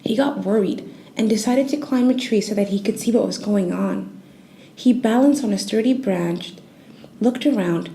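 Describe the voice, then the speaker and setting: A woman storyteller reading a children's bedtime tale in a gentle, expressive, soothing narration style. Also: mid-range voice, normal speed.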